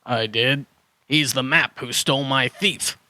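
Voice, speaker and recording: A man's voice: medium pitch, a little slow, pushed and bright, no room sound. A man playing a flustered dinosaur hermit who muddles his words in a children's audio drama. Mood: matter-of-fact. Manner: flustered word-swapping, correcting himself.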